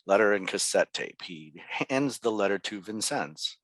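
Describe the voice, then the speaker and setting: A man's voice: on the low side, animated, normal speed, fairly bright tone, dry recording. A man narrating stage directions from a script at a table read.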